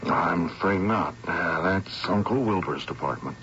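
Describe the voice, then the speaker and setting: A man's voice: deep voice, measured pace, a bit bright. An actor playing a haunted, weakened man, anxious and broken, with a hesitant, faltering delivery in a radio drama. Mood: faltering, defeated. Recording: noisy, muffled old radio recording.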